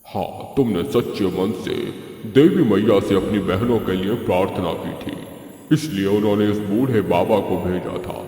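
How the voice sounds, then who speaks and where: A man voicing a slow, solemn, kindly magical talking mango tree in a cartoon moral story, with a gravelly, husky voice. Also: on the low side, dark tone, natural voice. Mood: solemn, reassuring. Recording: long hall reverb, music behind.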